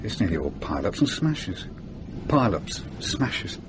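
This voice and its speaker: An actor playing a big, gruff, teasing van driver with a wry, matey manner in a radio drama. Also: male voice, on the low side, sing-song, measured pace. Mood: matey, morbid. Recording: noisy radio drama recording.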